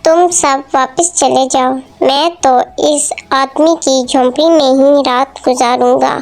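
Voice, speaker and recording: A performer voicing kindly, polite characters in a moral storybook tale, speaking in a measured, formal way. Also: high-pitched, fairly even intonation. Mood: resolute. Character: kind, generous king and humble poor woodcutter. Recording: music behind the voice.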